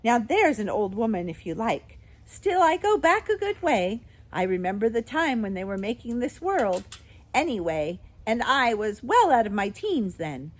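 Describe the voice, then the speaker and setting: A woman voicing a tiny, ancient, capricious shopkeeper woman in a children's storybook, with a shrill little voice and an exclamatory manner. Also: sing-song, normal speed. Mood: chatty, gleeful. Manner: chattering, exclamatory, swinging from sweet to fierce.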